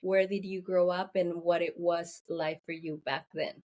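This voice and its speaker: A woman's voice: lowish voice, flat delivery, mellow. A woman hosting an interview, encouraging her guest with frequent affirmations. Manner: slow, encouraging, many affirmations. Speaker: interview host.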